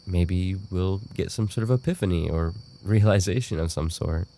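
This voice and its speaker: A man playing an obsessive, unstable yandere roommate in an audio roleplay, with an intimate, halting delivery. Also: warm tone, a little hiss or hum. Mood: wistful, tender. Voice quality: low, gravelly. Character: obsessive male yandere roommate, soft-spoken, darkly devoted, unstable. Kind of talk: boyfriend ASMR audio roleplay.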